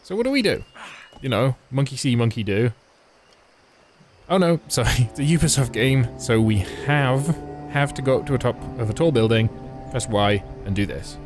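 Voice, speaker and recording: A man chats through a video game with a wry, mocking edge, poking fun at its plot and quests. Loud game music plays under his voice the whole time. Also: medium pitch, animated, normal speed. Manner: wry, mocking, chatty asides. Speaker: let's play host. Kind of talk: sarcastic gameplay commentary.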